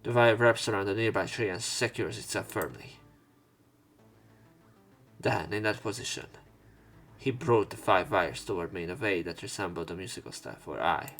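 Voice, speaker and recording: A man's voice: low-pitched, normal speed, long pauses, fairly bright tone, faint music behind. A man hosting a let's play, reading visual novel narration aloud in a dramatic way, with commentary. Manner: acts out lines and exclamations.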